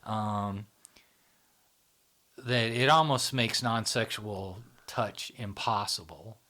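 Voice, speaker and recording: A man's voice: on the low side, bright, forceful tone. A man hosting a podcast, talking casually and working through ideas aloud, often checking in with "you know" and "right?". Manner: conversational, drawn-out, frequent "you know" and "right?". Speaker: podcast host. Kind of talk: casual discussion.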